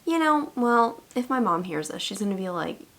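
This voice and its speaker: A woman's voice: medium pitch, very animated, normal speed, creaky, a bit bright, faint music behind. Chatty young American woman vlogging. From United States. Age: 20s. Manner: chatty, playful, sassy asides. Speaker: YouTuber. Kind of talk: casual vlog chat.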